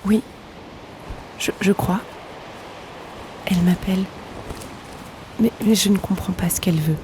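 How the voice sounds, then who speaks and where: A woman playing a wistful, grieving woman in a French audio drama, speaking in a breathy, hesitant hush. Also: mid-range voice, a little slow, background noise, close to an everyday voice. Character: grieving, wistful woman searching the sea for her lost brother.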